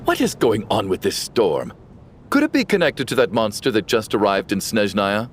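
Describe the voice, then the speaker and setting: An actor playing an awkward, gruff middle-aged father with a soft heart, speaking hesitantly in a game. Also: lowish voice, sing-song, a little hiss or hum. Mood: pensive. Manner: halting, hesitant, stammering.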